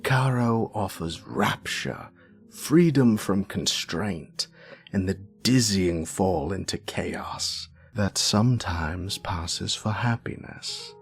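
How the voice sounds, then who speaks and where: Grumpy, sassy British wizard professor, played by a man: very low voice, slow theatrical delivery, savouring every word of the lore. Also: expressive, soft background music, heavily acted. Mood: ominous, relishing. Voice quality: gravelly, creaky. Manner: wry, theatrical, savouring words with dramatic pauses. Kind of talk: scripted in-character lore monologue.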